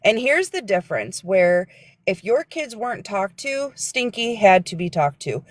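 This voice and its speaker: A woman's voice: medium pitch, big pitch swings, a little slow, no echo. A woman, a guest on a livestream, speaking earnestly and lecturing, sometimes searching for her words. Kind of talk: casual livestream chat.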